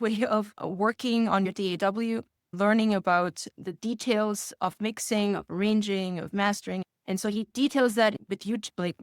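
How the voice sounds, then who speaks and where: A woman's voice: mid-range voice, clear, resonant tone, no echo, studio quality. A woman answering interview questions conversationally, with an enthusiastic manner and frequent chuckles as she explains things. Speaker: music course student giving a testimonial.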